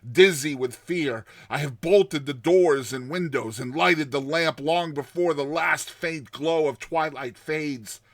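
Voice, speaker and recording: A man voicing a terrified man telling his nightmare in a dramatized story, with tense pauses, hushed passages and explosive outbursts. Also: on the high side, no echo, studio quality. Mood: rising panic. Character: terrified man recounting his nightmare of a lurking giant serpent.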